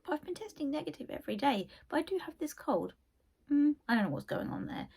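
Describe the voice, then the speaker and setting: A woman's voice: sits high, sing-song, fast, gravelly, a little mellow. A young woman with a cold, hosting a book channel and chatting casually about her favourite reads, rambling with lots of asides. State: croaky from a cold. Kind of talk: casual book review chat.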